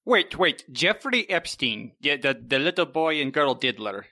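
A man's voice: a little high, big pitch swings, moderate pace, pushed and bright, no room sound, clean studio sound. A man co-hosting a podcast, bantering with jokey mock surprise. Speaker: podcast co-host. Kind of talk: casual chat.

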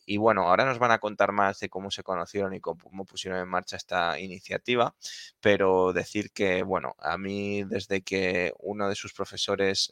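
A man's voice: deep voice, fairly bright tone, clear, resonant tone, dry recording, clean studio sound. A man from hosting a live stream on a video call, speaking casually with many fillers and repeated words.

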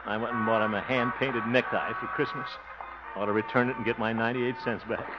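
An actor playing a vain, blustery middle-aged schemer in 1950s radio comedy, chuckling and sputtering. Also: male voice, mid-range voice, steady tone, fast talker, lightly acted. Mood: indignant grumbling. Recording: muffled, very noisy old radio recording.

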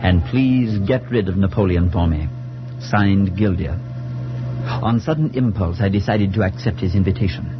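A dull-sounding 1940s radio play carries a man acting a steady, worried friend telling an eerie tale. His voice sits low, earnest and firm, measured in the manner of old suspense drama. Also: music in the background, lightly acted. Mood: grave, measured. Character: steady, concerned male friend narrating a supernatural tale. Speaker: narrator and friend. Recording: muffled 1940s radio recording.

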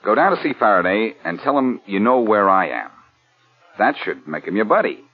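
An actor playing a wry, quick-witted, confident rogue detective in an American radio crime drama of the 1940s. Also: male voice, fairly low pitch, very animated. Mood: scheming. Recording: muffled old radio recording.

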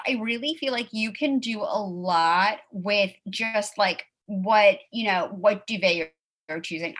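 Chatty woman in her 30s, says like a lot, really bright crisp voice. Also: fairly high pitch. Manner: chatty, peppered with "like", quick asides and laughs. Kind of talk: casual chat.

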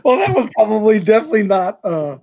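A man's voice: high-pitched, very animated, quick pace, gravelly, no echo, highs cut off. A man hosting an interview, talking casually with many fillers and restarts. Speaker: podcast host.

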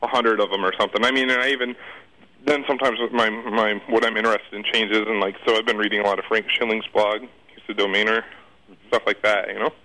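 A man's voice: lowish voice, flat delivery, quick pace, sharp tone, low-quality recording. A man answering casually as an interview guest, rambling off the cuff, repeating words and trailing off.

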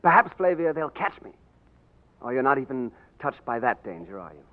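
An actor playing a suave, gallant romantic hero in a 1940s radio drama. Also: male voice, medium pitch, big pitch swings, moderate pace, close to an everyday voice. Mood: tender. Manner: suave, tender, heroic. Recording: dull lo-fi 1940s radio broadcast.

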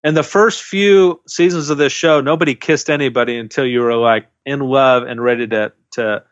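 A man's voice: mid-range voice, moderate pace, dry recording, dull audio. A man co-hosting a casual podcast, giving opinionated, speculative takes on a dating show. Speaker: podcast co-host.

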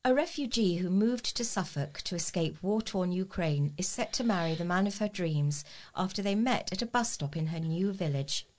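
A woman's voice: on the low side, animated, moderate pace, darkish tone. A woman with a British English accent reading scripted local news aloud as a volunteer newsreader.

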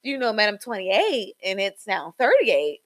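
A young woman co-hosting a casual gossip podcast, animated, with sudden high jumps in pitch and trailing ums. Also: a little slow. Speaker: podcast co-host.

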